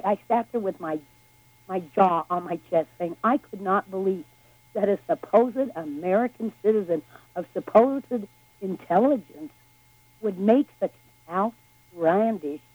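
A woman's voice: mid-range voice, lively intonation, normal speed, darkish tone, dry recording. A woman calling into a radio show with a scratchy voice, telling an indignant story with emphasis. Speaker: caller. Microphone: phone line.

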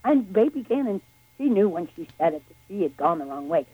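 A woman's voice: mid-range voice, very animated, a little fast, breathy edge, phone-quality sound. A woman calling into a radio show with a scratchy voice, telling an indignant story with emphasis. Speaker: caller. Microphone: phone line.

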